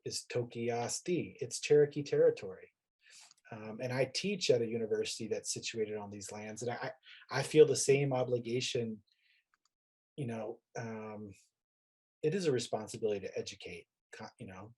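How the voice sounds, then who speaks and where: An American man, a university teacher, reflecting informally on a panel with halting phrases that trail off. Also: mid-range voice, darkish tone, husky.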